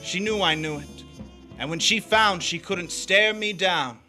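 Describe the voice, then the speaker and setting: Bright-voiced hardboiled noir drifter. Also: male voice, high-pitched, a little slow, faint music behind, heavily acted. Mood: wry, brooding. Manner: terse, wry, confiding. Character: cynical young drifter narrating his own downfall, hardboiled. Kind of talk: 1940s radio drama noir narration.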